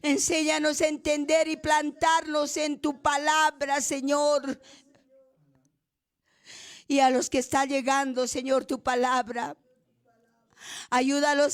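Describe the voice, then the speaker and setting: A woman leading a fervent spoken prayer, pleading and repeating her invocations between every phrase. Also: sits high. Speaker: prayer leader.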